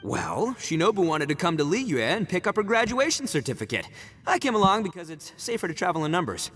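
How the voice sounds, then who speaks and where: A man's voice: high-pitched, sing-song, brisk, fairly bright tone, soft background music. A man playing a brash, boisterous young gang boss in a video game, with a swaggering, slangy, theatrical delivery. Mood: boastful, casual. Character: brash boisterous young male gang boss, eager self-styled rescuer. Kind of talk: animated video game voice acting.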